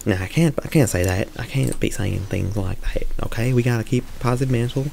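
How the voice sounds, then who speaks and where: A man's voice: mid-range voice, fairly quick, vocal fry, darkish tone, slight background noise. A young man in a small room, giving chatty, joking commentary while he plays a video game and thinks aloud. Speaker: streamer. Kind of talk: live gameplay commentary.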